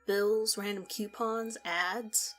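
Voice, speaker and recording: A woman tells a first-person story as a narrator, with a middling pitch. Her delivery is conversational and measured, and music plays behind her voice. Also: steady tone. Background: background music.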